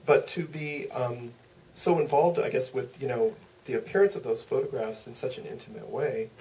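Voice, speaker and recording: Male moderator, dark soft-edged timbre, hesitant and wordy, picked up from off with light noise. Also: medium pitch, normal speed. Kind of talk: thoughtful, loosely phrased interview questions. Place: theater stage at a public Q&A. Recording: distant mic, faint room noise.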